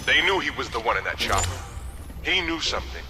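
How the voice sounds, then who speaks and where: A man's voice: medium pitch, sing-song, a little fast, natural voice. An actor playing a suspicious, dry-witted male mercenary soldier in a game cutscene. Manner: dry, suspicious, matter-of-fact. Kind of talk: video game cutscene acting.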